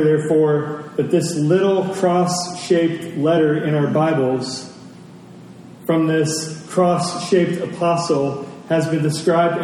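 A man delivers a sermon in an earnest, conversational way, with rhetorical questions and deliberate pauses. His voice sits a little high yet sounds mellow. It reaches the listener from a distance, washed in heavy hall reverb. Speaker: preacher. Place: large reverberant room, church service. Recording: very echoey large hall, distant, noisy.

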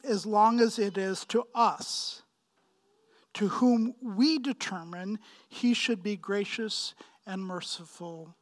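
A man in his fifties from Pakistan preaching a sermon, with emphatic, deliberate phrasing and frequent repetition. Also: high voice. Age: 50s. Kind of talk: sermon, expository preaching with personal anecdotes.

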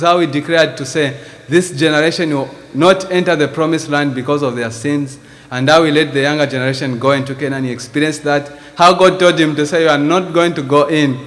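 A man's voice: a little high, fairly bright tone, long reverb tail. A man from Zambia with a Zambian accent, preaching a sermon in church and reading scripture earnestly. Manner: earnest, reads scripture with rising emphasis. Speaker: visiting preacher.

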